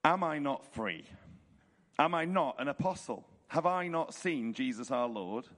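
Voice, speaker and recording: A man with a hoarse, croaky voice reads a scripture chapter aloud at a church service, in a measured, rhetorical way. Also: mid-range voice, animated, fairly bright tone. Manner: casual intro, then measured rhetorical reading. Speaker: church leader reading scripture. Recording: reverberant hall.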